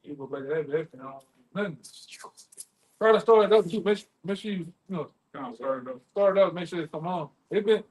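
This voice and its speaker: A man's voice: high voice, animated, fairly quick, darkish tone, low-quality recording. A man in casual, unscripted talk, colloquial and prone to repeating his phrases. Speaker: member of a street preaching group.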